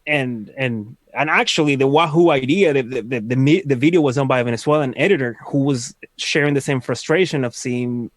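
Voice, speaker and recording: A man appearing as a musician guest on a podcast, talking frankly in an animated, casual way and swearing freely. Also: medium pitch, brisk, hardly any pauses, no echo.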